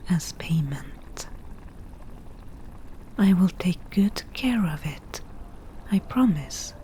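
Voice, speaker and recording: A wry forest witch, played by a woman, whispers softly and very slowly, low and breathy. She is a dryly teasing potion brewer who drives a bargain in an ASMR fantasy roleplay. Her tone is mellow, with faint noise behind it. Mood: playful, bargaining. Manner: slow, unhurried, dryly teasing.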